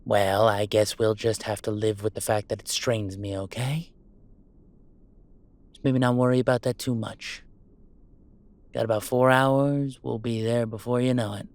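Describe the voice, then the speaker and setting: A man's voice: medium pitch, fairly even intonation, measured pace, long pauses, close to an everyday voice. A man playing a chatty, affectionate, well-off gamer in a roleplay audio drama, talking casually and reassuringly in a rambling, wry way. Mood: reassuring, explaining. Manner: casual, rambling, self-interrupting, wryly reassuring. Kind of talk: intimate roleplay audio acting, one-sided conversation.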